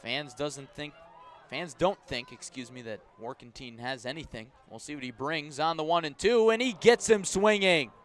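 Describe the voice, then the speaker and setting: A man with a fairly high voice gives live baseball play-by-play for radio. He describes the action in clipped, measured phrases and lifts his delivery when a play matters. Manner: measured description rising to excited calls on key plays. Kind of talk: live radio sports commentary.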